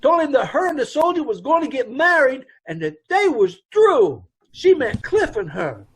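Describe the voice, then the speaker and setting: An actor playing a nervous middle-aged dance hall owner who rambles in loose, ungrammatical speech, in an old-time radio drama recreation. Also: male voice, extremely high pitch, very animated, normal speed, a bit bright, full character voice. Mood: anxious.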